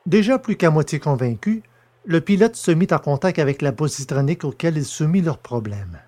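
A man narrating a science fiction audio drama in a steady, measured storytelling way. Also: a little high.

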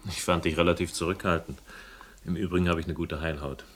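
An actor playing a world-weary, sardonic hero of a radio crime drama, speaking in a dry, laconic way. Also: male voice, low-pitched, level tone, fast talker, fairly bright tone. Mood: guarded, wry. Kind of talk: German radio crime drama, first-person narration and dialogue. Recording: faint noise, older radio production.

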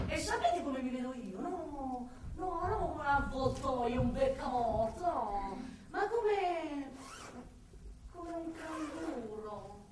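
A woman's voice: high voice, background noise, full character voice. An actress playing an unsettling, darkly ironic man with murderous thoughts, in a volatile theatrical radio monologue. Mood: furtive, dark. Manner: volatile, swinging from murmurs to shouts, drawn-out words. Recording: very echoey, distant, music behind.

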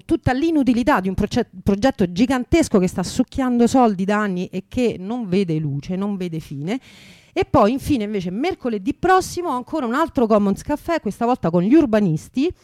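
A woman's voice: on the high side, darkish tone, dry recording, clean studio sound. A woman from Italy hosting a radio show, announcing events in an informal, digressive and enthusiastic unscripted way.

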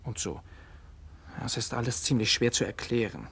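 An actor playing a rebellious nineteen-year-old son in a radio play, earnest, critical and argumentative. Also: male voice, mid-range voice, animated, normal speed, vocal fry, fairly bright tone, breathy edge, exaggerated voice. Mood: defensive, earnest. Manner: emphatic, argumentative. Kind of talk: late 1960s radio play acting.

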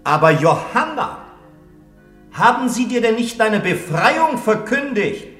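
An actor playing a priest who gently urges a condemned prisoner in a classic radio play. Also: male voice, sits high, sing-song, unhurried, echoey room, faint music behind, full character voice. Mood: pleading. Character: male priest sent to prepare a prisoner for death, pleading.

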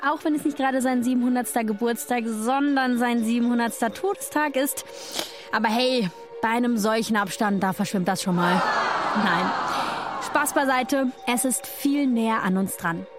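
Actress doing a bubbly teasing podcast girl, kinda high voice, music underneath. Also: moderate pace, close to an everyday voice. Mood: playful, excited. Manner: playful, exclaiming, youthful slang. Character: chirpy, teasing female podcast co-host. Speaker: podcast co-host. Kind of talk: scripted banter played as casual podcast chat.

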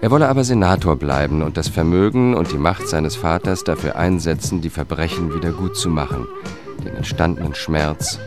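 An actor playing a cool, relentless investigator who narrates his own case in a measured radio-drama style. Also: very deep voice, over music. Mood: measured, grave. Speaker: first-person narrator.

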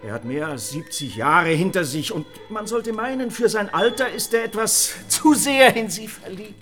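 Brief: gloomy castle guard, high voice, brooding, radio drama with music. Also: male voice, animated, normal speed, fairly bright tone. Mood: confiding, pitying. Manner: brooding, theatrical. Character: gloomy castle night watchman, pitying and suspicious. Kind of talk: German radio play acting.

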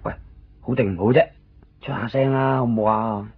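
A man's voice: mid-range voice, fairly even intonation, fairly quick, lightly acted. A man with a Hong Kong Cantonese accent playing a nervous, apologetic, evasive friend in a radio drama. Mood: pleading. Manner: hesitant, stammering, pleading. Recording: dull, lo-fi 1990s radio recording with music.

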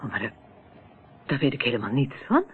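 A woman's voice: a bass voice, very animated, brisk, a little hiss or hum. An actress playing a polite, evasive married woman in a radio play, hesitant and defensive in manner. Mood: uneasy, defensive. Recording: muffled, narrow-band old radio recording.

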